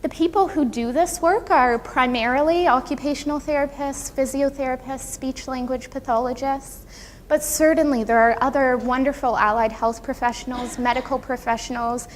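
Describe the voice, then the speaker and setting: A woman with a soft voice giving an earnest, gracious conference talk, laying out her points carefully. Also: high voice, fairly even intonation, normal speed. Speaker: conference presenter, occupational therapist. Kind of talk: prepared conference talk to an audience. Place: conference room, speaking to an audience. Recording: echoey hall with audible background noise.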